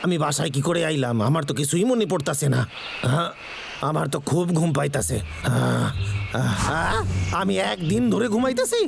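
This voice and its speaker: A man's voice: on the high side, expressive, normal speed, vocal fry, background noise. A man playing a scheming, hot-tempered cartoon logger who boasts and speaks with an East Bengali accent. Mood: groggy, puzzled. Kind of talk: dubbed children's cartoon acting.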